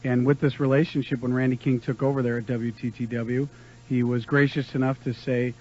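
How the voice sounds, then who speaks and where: Even-toned American man, muffled radio sound. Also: mid-range voice, brisk. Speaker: interview guest, concert promoter. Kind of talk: radio interview answers. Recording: dull lo-fi radio broadcast recording.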